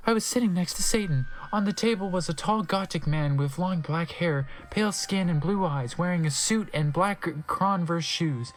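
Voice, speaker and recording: A man's voice: high voice, moderate pace, hardly any pauses, low-quality recording. A man narrating a comedic dramatic reading in a theatrical, mocking way with wry asides.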